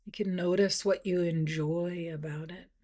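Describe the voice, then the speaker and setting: A woman meditation teacher leading a guided practice, speaking gently and softly with unhurried pauses, at times breathy. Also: fairly low pitch, steady tone.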